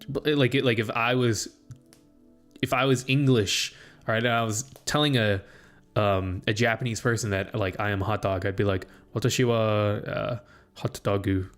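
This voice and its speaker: Rambling young man thinking aloud. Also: medium pitch. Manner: meandering, full of "like" and restarts, thinks aloud. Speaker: student streamer. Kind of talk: casual rambling monologue.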